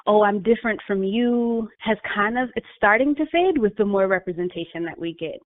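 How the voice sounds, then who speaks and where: A woman's voice: medium pitch, a little fast, dry recording. A woman guest, a life coach, talking through her thoughts in a casual interview, with many fillers and restarts. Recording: tinny phone-line audio.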